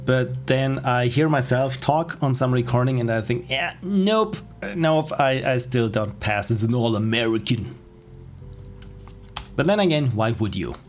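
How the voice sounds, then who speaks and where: A middle-aged man with an Austrian accent, chatting casually and rambling as he edits on a livestream, with soft laughs and restarts. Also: medium pitch, lively intonation, measured pace. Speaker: streamer. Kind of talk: casual livestream chat while editing. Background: faint music and keyboard typing.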